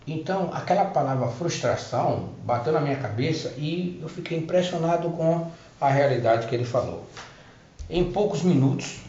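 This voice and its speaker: A man's voice: on the high side, lively intonation, normal speed, dark tone. A middle-aged man giving a personal testimony about spiritual healing, hesitating and restarting his words as he explains. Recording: roomy, dull lo-fi recording.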